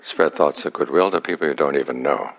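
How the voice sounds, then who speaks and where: A man leading a guided meditation as a teacher, speaking calmly and unhurriedly in short phrases with long pauses. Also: extremely low pitch, creaky.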